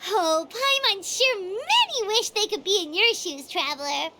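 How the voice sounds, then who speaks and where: A woman's voice: very high-pitched, sing-song, unhurried, very noisy, full character voice. A woman voicing a small, childlike, chirpy fairy companion in a video game, chatting away with music behind. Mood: chirpy, earnest. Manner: speaks of self in third person, childlike. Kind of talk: video game character dialogue.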